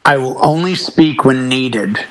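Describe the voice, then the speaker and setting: A man's voice: mid-range voice, measured pace, a crowd in the background. A middle-aged man chatting casually on a panel as a DJ, speaking emphatically and repeating words to drive points home. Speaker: talk show panelist, professional DJ.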